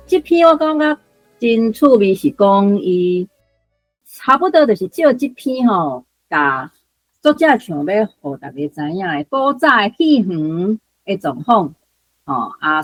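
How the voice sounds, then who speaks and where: A woman's voice: on the low side, no echo, rough recording. A woman with a Taiwanese accent giving unscripted explanatory commentary in a conversational way, full of particles and small asides. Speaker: guest commentator.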